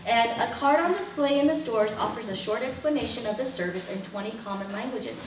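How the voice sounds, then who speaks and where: A middle-aged woman giving a lively workshop talk, full of anecdotes and laughter, moving about as she speaks in a classroom. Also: fairly high pitch, fairly quick. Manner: animated, gesturing, laughing often. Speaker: workshop presenter. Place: classroom with projector screen. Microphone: far camera, no visible mic. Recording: echoey room from far off, noisy.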